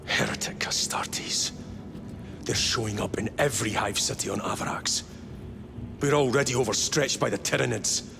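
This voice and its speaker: A man's voice: fairly low pitch, normal speed, vocal fry, music in the background. An actor playing a grim, weary male space marine captain who gives stern, clipped commands in a game cutscene. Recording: game audio with score underneath.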